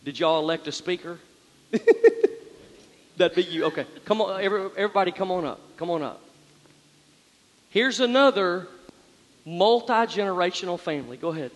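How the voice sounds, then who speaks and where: A man's voice: sits high, lively intonation, normal speed, pauses often. A man, hosting people on stage at church in an upbeat, coaxing way. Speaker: pastor. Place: large church hall with live band and congregation. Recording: hall reverb, loud band and crowd.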